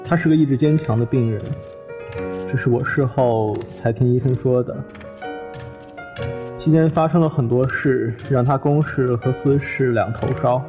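Guy playing polite solemn middle-aged man hosting guests, mid-pitched, radio drama, music under it. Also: moderate pace, lightly acted. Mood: solemn, regretful. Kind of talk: Chinese radio drama acting.

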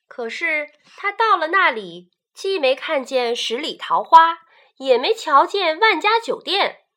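Bright-voiced woman telling stories to children. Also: high voice. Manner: slow, expressive storytelling for children. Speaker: narrator. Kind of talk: children's story narration.

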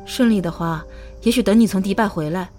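A woman playing a gentle, caring young woman in an audio drama, soothing and affectionate. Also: mid-range voice, a little fast, soft background music, close to an everyday voice. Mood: warm, relaxed. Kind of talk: modern audio drama acting.

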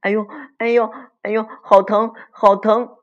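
A man's voice: high-pitched, little pitch movement, measured pace, lo-fi sound, pitched above the performer's own voice. A man voicing a small, whiny young panda who exclaims and yelps in a children's storybook. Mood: pained.

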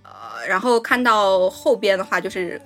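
A woman's voice: mid-range voice, very animated, normal speed, fairly bright tone, soft background music. A woman co-hosting a casual film discussion, going step by step with repeated linking words. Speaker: podcast co-host.

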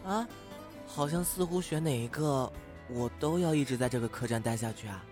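A young performer playing a timid, excitable half-demon who is hesitant and easily flustered, in an audio drama. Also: medium pitch, normal speed, soft background music, close to an everyday voice.

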